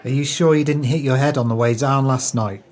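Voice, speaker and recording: A man's voice: mid-range voice, steady tone, a little fast, darkish tone, close to an everyday voice. An actor playing a jealous, callous male swindler in an audio drama, sneering and self-pitying with grandiose, wordy speech. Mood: mocking.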